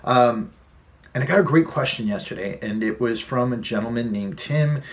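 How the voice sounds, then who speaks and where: A man's voice: mid-range voice, normal speed. A man in his late thirties hosting a fitness advice vlog, earnest and conversational, repeating key points for emphasis. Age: 30s. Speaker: fitness coach and vlog host.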